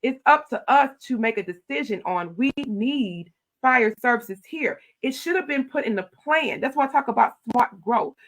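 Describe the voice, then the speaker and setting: A middle-aged woman, a county commissioner telling stories in an animated, loud voice. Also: fairly high pitch, moderate pace, few pauses, poor audio. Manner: animated storytelling, acting out her own quoted replies. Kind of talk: casual interview talk.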